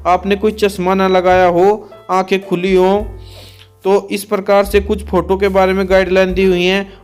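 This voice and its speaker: A man giving a methodical informational explanation, restating points so they are clear. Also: high-pitched. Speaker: exam and recruitment explainer, host.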